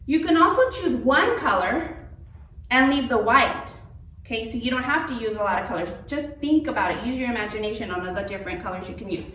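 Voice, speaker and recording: A woman's voice: on the high side, expressive, fairly quick, fairly bright tone. A woman giving an informal step-by-step craft demonstration as an instructor, reassuring and often checking in with an "okay". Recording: roomy, distant mic with faint noise.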